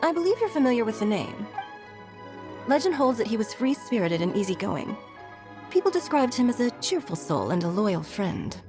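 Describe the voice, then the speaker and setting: A woman's voice: a little high, big pitch swings, normal speed. A woman playing an elegant, courteous stateswoman in a video game, formal, poised and gracious. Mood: solemn, reflective. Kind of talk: video game character acting. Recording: soft music behind the voice.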